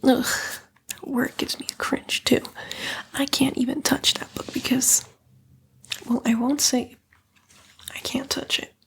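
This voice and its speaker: A sly female demon , played by a woman, murmurs in an ASMR roleplay. The voice sits high yet is very breathy and mostly whispered, with crackles of fry. The delivery is coaxing, sassy and dismissive, like a fallen angel tempting someone into trouble. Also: flat delivery, unhurried, long pauses, exaggerated voice. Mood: disdainful. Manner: coaxing, flippant, disdainful, drawling slang.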